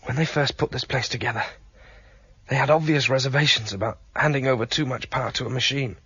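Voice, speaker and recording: A man's voice: medium pitch, quick pace, bright, forceful tone, breathy edge, no room sound, heavily acted. An actor playing a determined male rebel who exposes a ruling computer, speaking in an urgent, persuasive way. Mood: explaining. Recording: dull, dry lo-fi radio drama recording.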